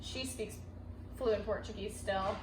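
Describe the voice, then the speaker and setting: A woman's voice: mid-range voice, steady tone, slow. A young adult American woman chatting casually, with a chatty, explanatory way of talking. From United States. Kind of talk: casual chat. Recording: echoey room, distant mic, noticeable noise.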